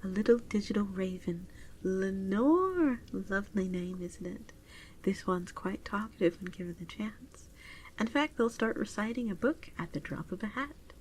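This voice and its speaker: Soft whispery woman acting as virtual pet shop clerk. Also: medium pitch, animated, a little hiss or hum, lightly acted. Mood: fond. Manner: soft, often whispery, unhurried and attentive. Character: gentle, doting female adoption clerk for virtual pets. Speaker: ASMR roleplay performer. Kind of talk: soft-spoken ASMR roleplay.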